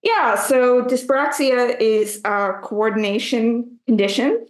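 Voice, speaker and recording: A Canadian woman in her thirties, a guest writer answering interview questions in a measured, careful way with some hesitations. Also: a little high, echoey room. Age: 30s. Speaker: guest, writer and public speaker.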